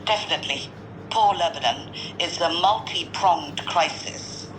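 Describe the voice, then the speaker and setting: A woman giving news analysis on radio, speaking gravely and emphatically, piling up phrases. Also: on the low side, unhurried, noisy background.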